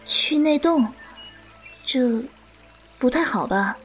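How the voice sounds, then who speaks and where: High-voiced, gentle young swordswoman disciple acted by a woman. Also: animated, slow, soft background music. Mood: gentle, patient. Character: gentle caring young woman disciple, bashful and easily flustered. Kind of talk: Chinese wuxia radio drama acting.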